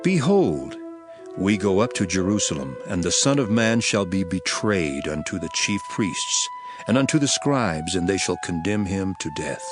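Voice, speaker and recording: Solemn male teacher of parables, low voice with lively melodic swings, grave authority, music behind. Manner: solemn, declamatory, measured. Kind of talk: dramatized scripture reading.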